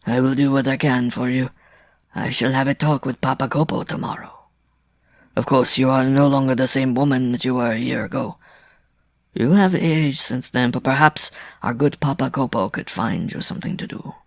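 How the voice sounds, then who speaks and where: A man's voice: on the high side, fairly quick, hardly any pauses, no room sound, studio quality, voice pushed up. A man voicing a brash, scornful male circus rider in an audiobook, with a firm, blustering delivery. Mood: soothing. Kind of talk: audiobook character acting.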